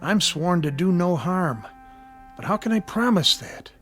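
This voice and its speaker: An actor playing a frank, world-weary army medical sergeant in a war radio drama. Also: male voice, high-pitched, lively intonation, measured pace, a bit bright, faint music behind. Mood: weary, troubled. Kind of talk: audio drama acting.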